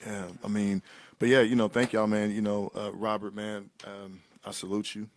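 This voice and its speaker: Low, even-voiced man hosting a talk. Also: moderate pace, a little hiss or hum. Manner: sincere, hesitant, repeats phrases. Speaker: talk show host. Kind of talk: casual interview chat.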